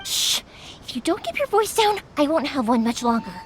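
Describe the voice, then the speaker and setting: Bubbly, high-pitched young shopkeeper. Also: female voice, normal speed, heavy background noise. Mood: urgent hushing. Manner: colloquial, lively. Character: bubbly, cheeky young woman fireworks shop owner. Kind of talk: video game character dialogue. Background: background music.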